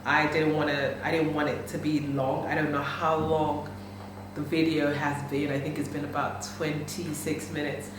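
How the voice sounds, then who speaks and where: A woman's voice: sits low, flat delivery, normal speed, background noise. A woman in her thirties talking candidly in a personal vlog, emphatic and repeating her phrases. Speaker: vlogger. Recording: echoey room, mic at a distance.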